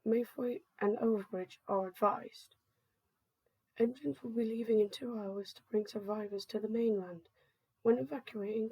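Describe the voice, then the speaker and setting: A woman playing a government emergency announcer, reading a scripted evacuation alert in a formal, measured manner. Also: mid-range voice, dark tone, slightly rough, no echo, natural voice, poor audio.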